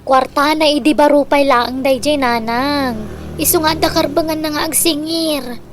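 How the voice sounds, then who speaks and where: A woman's voice: sits high, fairly even intonation, moderate pace, slight background noise, lightly acted. A woman playing a young newlywed wife caught between mother and husband in comedic radio drama, apologetic, with an Ilocano accent.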